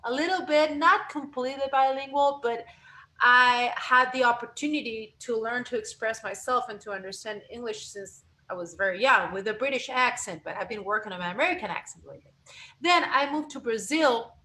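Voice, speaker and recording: A woman from Argentina, speaking as a guest voice coach in a lively interview, restarting phrases as she talks. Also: on the high side, low-quality recording. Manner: animated, expressive, frequent self-corrections. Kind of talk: conversational interview.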